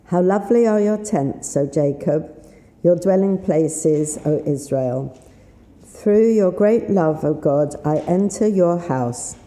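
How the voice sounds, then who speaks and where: A woman with a slightly husky voice, leading a synagogue service in a chatty, unhurried way with fillers. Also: on the low side, mellow. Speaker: service leader. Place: synagogue sanctuary. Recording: roomy sanctuary sound with faint noise.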